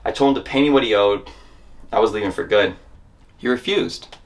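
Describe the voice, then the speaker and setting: A man's voice: on the low side, fairly quick. A man reading a memoir as a dramatic monologue, telling the story in scripted first person with theatrical emphasis.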